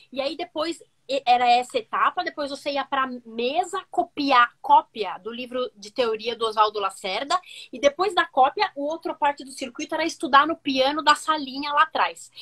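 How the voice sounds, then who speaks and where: A woman, telling stories on a video call from a room at home, animated and full of fillers. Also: high-pitched. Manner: animated, gestures a lot, many fillers. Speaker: music teacher guest. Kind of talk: casual storytelling interview.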